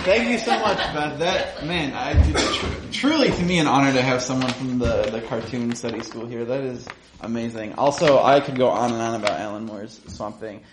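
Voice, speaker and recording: A man's voice: medium pitch, moderate pace. A man casually hosting a live storytelling show, rambling with enthusiasm and the odd swear word. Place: live event before an audience. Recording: roomy live venue with audience noise.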